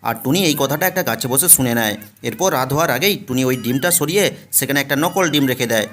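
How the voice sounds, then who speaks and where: A man's voice: medium pitch, animated, quick pace, fairly bright tone, a little hiss or hum. A man reading scripted storybook narration for children's cartoon tales. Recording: music bed behind the voice. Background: background music.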